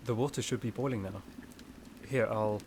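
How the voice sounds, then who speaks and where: A man playing a gentle, shy, caring forest companion in a soft-spoken ASMR roleplay, with a hesitant, slightly stammering delivery. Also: on the low side, normal speed, noisy background, close to an everyday voice. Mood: gently attentive.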